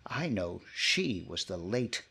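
An actor playing a sly, taunting male blackmailer in a radio-drama recreation, speaking with a husky, gravelly voice. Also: low-pitched, very animated, pushed and bright, heavily acted. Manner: taunting, drawn-out delivery. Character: sly gloating male blackmailer, middle-aged.

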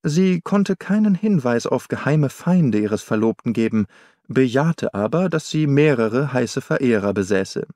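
A man's voice: mid-range voice, animated, unhurried, mellow, dry recording. Male audiobook narrator, dry close sound. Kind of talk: scripted literary narration. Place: dry studio.

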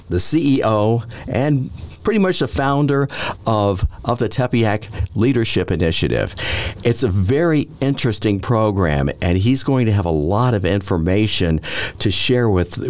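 Older man hosting radio, lively low voice. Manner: folksy, halting, many restarts. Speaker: radio host. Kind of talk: radio interview. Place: small padded studio. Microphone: studio mic with headphones.

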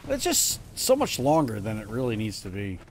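A man's voice: fairly low pitch, wildly swooping pitch, normal speed, a little hiss or hum. A man in his thirties streaming a game live from a small room at home, muttering casual, self-mocking commentary to himself as he plays. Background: game music.

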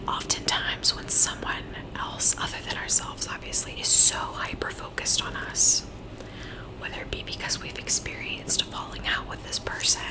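A woman whispering softly and reassuringly as a Reiki practitioner, in an ASMR session. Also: rough recording. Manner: soft whispering, gentle and reassuring. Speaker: Reiki practitioner and psychic medium. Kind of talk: whispered ASMR energy-healing talk. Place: Virginia, USA.

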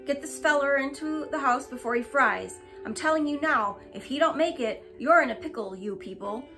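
A woman reading aloud, voicing a middle-aged rural constable who talks gruffly in folksy dialect. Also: high-pitched, moderate pace, pushed and bright, soft background music. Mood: bossy.

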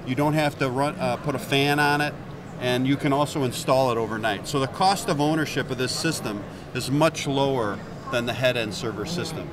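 A man's voice: medium pitch, brisk, background noise. A man in his fifties, a company executive, explaining his product in an upbeat way on a trade show floor, into a handheld mic. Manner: upbeat, explains while pointing at hardware. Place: busy indoor expo hall. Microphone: handheld mic held by interviewer.

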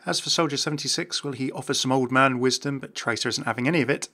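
A man narrating game lore from a script as a video host. His voice is mid-pitched and bright, with a gravelly creak. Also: fast.

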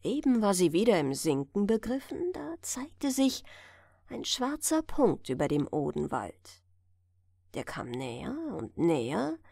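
A woman reading a fairy tale aloud as an audiobook narrator, in an expressive storytelling style. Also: mid-range voice, dry recording, studio quality. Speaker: audiobook reader.

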